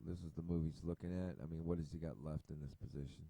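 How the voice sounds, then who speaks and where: A man talks through a chess position in a low, dark, mellow voice, musing aloud and trailing off in fillers. He sits close to a desk mic at his computer, and the sound is dry with no room around it. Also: steady tone, fast talker, no echo. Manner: rambling, thinking aloud with many fillers and restarts. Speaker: chess video host. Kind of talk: casual chess analysis commentary.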